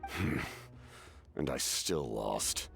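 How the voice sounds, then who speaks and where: An actor playing a weary, proud ancient warrior in a video game, speaking slowly and gravely with a deep, gravelly voice. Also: male voice, animated, exaggerated voice. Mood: solemn, regretful. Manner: slow, measured, grave. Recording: background music under the voice.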